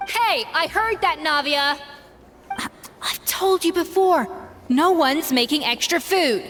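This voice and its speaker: A woman playing a stern, exacting young head chef in a video game, brisk and commanding but secretly caring. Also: high voice, lively intonation, a bit bright, big-room sound, slight background noise, heavily acted. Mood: gruffly defensive.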